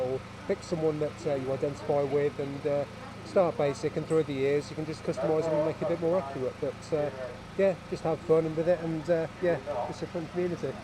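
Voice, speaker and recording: A man cosplayer speaking hesitantly, with many pauses, about his props and cosplay advice. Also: on the high side, poor audio. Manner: hesitant, full of ums. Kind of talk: casual interview at a convention. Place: busy convention hall. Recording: loud crowd chatter.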